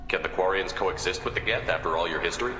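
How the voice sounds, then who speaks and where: Man as a deep, brisk military captain. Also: close to an everyday voice. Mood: probing, firm. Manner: brisk, direct questioning. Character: direct, commanding human male military captain. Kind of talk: video game dialogue. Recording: long hall reverb, loud music behind.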